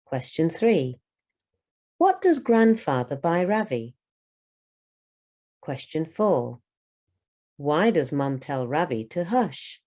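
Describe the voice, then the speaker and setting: A woman teacher reading a children's book aloud for language learners, with careful, measured enunciation. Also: deep voice, big pitch swings, warm tone. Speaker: English teacher.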